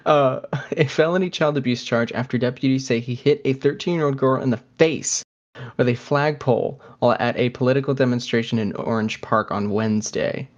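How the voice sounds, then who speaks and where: A man's voice: mid-range voice, brisk, highs cut off. A man co-hosting a podcast in casual conversation, talking in a hesitant, conversational way. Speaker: podcast co-host.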